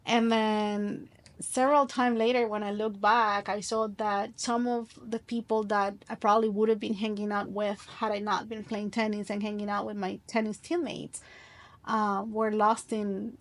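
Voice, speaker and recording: A woman's voice: medium pitch, level tone, normal speed. A middle-aged woman from Colombia, a podcast guest telling her story in an earnest, reflective way with frequent restarts.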